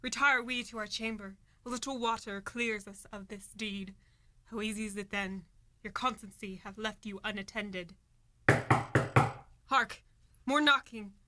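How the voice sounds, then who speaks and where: A woman's voice: mid-range voice, fairly even intonation, a little slow, sharp tone, slight background noise. A woman acting a scornful, scheming noblewoman in a Shakespearean radio play, speaking verse with commanding urgency. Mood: urgent, controlled. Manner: commanding, dramatic, urgent Elizabethan verse delivery.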